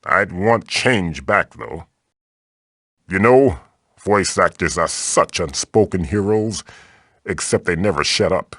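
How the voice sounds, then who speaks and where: A man's voice: sits low, slow pace, fairly bright tone, breathy edge, no echo, studio quality, full character voice. A man voicing an old magical mask, a bossy, wisecracking mentor guiding the player in a video game with theatrical, wry delivery. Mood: wry, bored.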